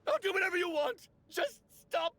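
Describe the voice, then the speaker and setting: A man's voice: very high-pitched, lively intonation, normal speed, pushed and bright, full character voice. An actor playing a self-important, jumpy wealthy man in a video game, theatrical and insistent, pressing others with questions. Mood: frantic, pleading. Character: panicky, accusatory, self-important wealthy man under threat. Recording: music behind the voice. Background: music.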